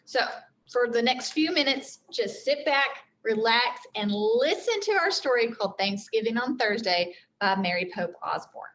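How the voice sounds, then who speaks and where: A woman's voice: fairly high pitch, animated, normal speed, fairly bright tone. A woman teacher reading a storybook aloud to children, explaining things simply as she goes. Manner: explains simply, with frequent check-in questions.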